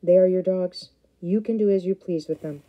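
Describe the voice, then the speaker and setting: A woman voicing a calm, plainspoken male herder from the far north who talks steadily. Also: high voice, normal speed, lo-fi sound, lightly acted.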